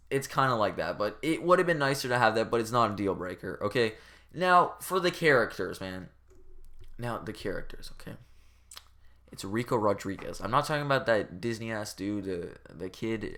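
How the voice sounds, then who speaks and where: A man's voice: on the low side, fairly bright tone. A man giving a casual comedic rant as a game reviewer, rambling and exasperated, with informal, profane speech.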